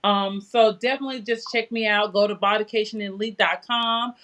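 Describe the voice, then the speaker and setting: A woman's voice: medium pitch, steady tone, a little fast, a bit bright. A woman in her forties, a guest promoting her business in casual chat, speaking over a phone video call. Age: 40s. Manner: chatty, promotional, repeats "you know". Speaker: guest, aesthetics business owner.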